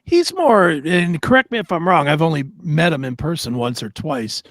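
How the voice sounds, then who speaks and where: A man's voice: fairly high pitch, animated, normal speed, fairly bright tone, no room sound, clean studio sound. A man co-hosting a talk show with informal, joking banter and casual questions.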